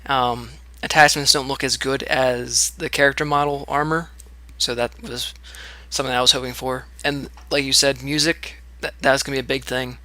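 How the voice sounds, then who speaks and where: A man's voice: mid-range voice, flat delivery, normal speed, sharp tone, dry recording. A man co-hosting a casual podcast chat, upbeat and teasing. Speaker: co-host.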